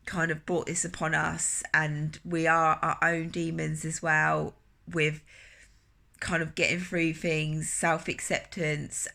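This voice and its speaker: A woman's voice: deep voice, vocal fry, bright, forceful tone. Earnest woman with a cold. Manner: halting, earnest, many fillers and restarts. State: nasal from a cold. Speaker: wellness coach. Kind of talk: personal vlog reflection.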